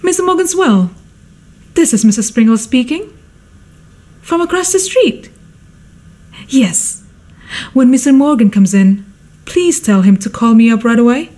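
An actress playing a fussy, imperious, status-hungry society mother in a radio drama, theatrical and exclamatory, with a husky voice. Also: a little high, animated, unhurried, pauses often, mellow. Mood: urgent.

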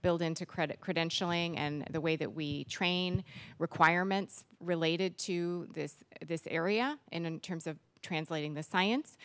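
Fluent woman panelist, slightly low voice. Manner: fluent, long run-on sentences. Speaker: panelist, trauma policy advocate. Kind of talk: panel discussion remarks. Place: conference room with audience. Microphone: handheld microphone.

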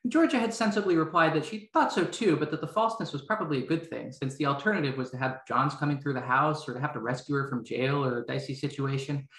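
A woman in her thirties, a novelist with a low voice, talking and reading informally over a video call. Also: fast, few pauses, a bit bright. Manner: hesitant, self-interrupting. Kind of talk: informal introduction and prose reading. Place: room at home. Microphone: video call, headset worn. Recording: roomy, echoing video-call audio.

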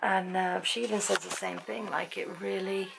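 Croaky chatty English woman. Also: mid-range voice, steady tone, moderate pace, background noise. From Britain. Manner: chatty, rambling, laughs often. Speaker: vlogger. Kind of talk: casual chat to camera. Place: bedroom at night, dim lamp light. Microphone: handheld camera at arm's length.

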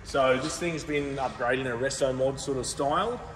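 A man hosting a casual car showroom walkthrough, talking enthusiastically with relaxed fillers. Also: medium pitch, normal speed. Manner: laid-back, enthusiastic car talk with fillers. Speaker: car dealer host. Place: large indoor car showroom. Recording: roomy reverb, mic far off, background noise.

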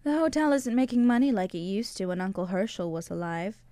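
A woman's voice: mid-range voice, expressive, a little fast, mellow, dry recording, studio quality, close to an everyday voice. A woman playing a plain-spoken, tart young American barmaid in radio drama dialogue. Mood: matter-of-fact.

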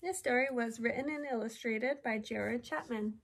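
A woman in her thirties, a teacher reading a picture book aloud to children with animated, exaggerated delivery and drawn-out words. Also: on the high side, gravelly. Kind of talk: expressive children's storybook read-aloud. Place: small indoor room with classroom displays.

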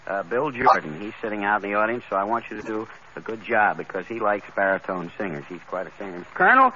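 A man's voice: fairly low pitch, very animated, quick pace, bright, forceful tone, a little hiss or hum. A man hosting an amateur talent segment on a 1930s radio variety show, genial and sometimes fumbling for words. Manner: genial, hesitant ad-libbing. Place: theater stage before an audience. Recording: dull old radio recording with live crowd.

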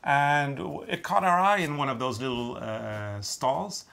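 A man's voice: mid-range voice, measured pace, gravelly, a bit bright. A middle-aged man, a native Norwegian speaker, chatting casually in English as he hosts a craft show-and-tell. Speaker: craft show host and designer. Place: small room with wood-panelled walls.

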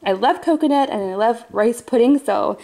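A woman in her thirties talks in an upbeat, chatty way, calling her viewers friends. Her voice sits a little high. She is recorded on a camera mic at home while filming items up close. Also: lively intonation, moderate pace, gravelly, mellow. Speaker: family vlogger. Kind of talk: casual grocery haul chat. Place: home, indoors. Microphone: camera mic, off-screen speaker filming products.

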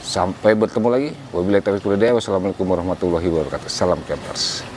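A man in his forties casually reviewing a camping air mattress for viewers, relaxed and chatty, recorded indoors at home on a camera mic a few meters away. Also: low-pitched, very fast, background noise. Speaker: product reviewer.

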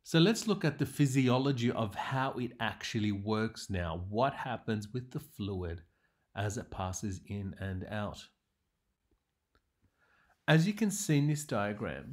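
A man lecturing in a measured, explanatory way, narrating slides as a medical teacher with emphatic sentence openings. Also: medium pitch.

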